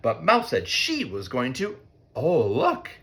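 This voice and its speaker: A man voicing a small, clumsy, anxious male mole in a children's storybook, squeaky and flustered. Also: huge pitch swings, takes it slowly, fairly bright tone, exaggerated voice. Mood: panicked. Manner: whispering, gasping, flustered. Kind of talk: children's storybook read-aloud.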